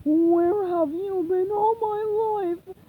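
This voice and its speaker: A woman voicing a dim, happy male starfish in a storybook reading, slow and dopey. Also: very high-pitched, steady tone, narrow, tinny audio, slight background noise, voice pushed up.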